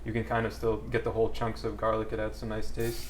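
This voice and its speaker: A man giving casual, low-key cooking instructions as a guest cook, explaining things informally. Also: completely flat delivery, quick pace. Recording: clear noise of frying and sizzling. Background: sizzling pan in a cooking demo.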